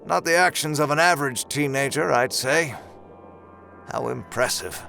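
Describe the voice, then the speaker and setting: An actor playing a coolly calculating, sinister boss of a secret project in an audio drama, speaking in a measured, formal, ominous way. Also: male voice, mid-range voice, gravelly, pushed and bright, faint music behind.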